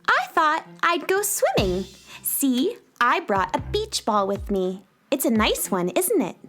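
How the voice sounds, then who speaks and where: A woman's voice: sits high, huge pitch swings, measured pace, fairly bright tone, soft background music, full character voice. An actress playing an earnest, kind and polite young space girl in a children's radio play, with an innocent manner. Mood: friendly.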